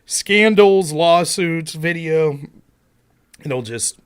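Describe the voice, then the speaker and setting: A man's voice: fairly high pitch, lively intonation, drawn-out words, clear, resonant tone. A man from Pennsylvania hosting a casual livestream on a headset at home, grumbling to himself with mild curses and airing blunt political opinions.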